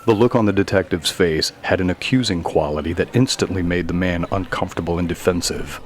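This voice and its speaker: A man reading scripted narration for a dark mystery story. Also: low-pitched, steady tone, a little fast, slight background noise. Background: faint music.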